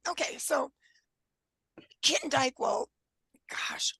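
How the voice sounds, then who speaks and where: A woman in her seventies giving an informal presentation over a video call, unhurried and halting, with a scratchy voice and frequent throat clearing. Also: sits high, sing-song, bright, forceful tone, no echo. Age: 70s. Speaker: guest presenter on wellness.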